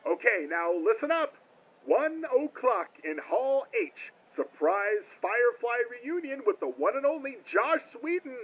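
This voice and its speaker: A man voicing a brash, pushy male convention announcer, loud and insistent, in an amateur comic dub. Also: very high voice, very animated, unhurried, muffled recording, exaggerated voice. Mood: announcing.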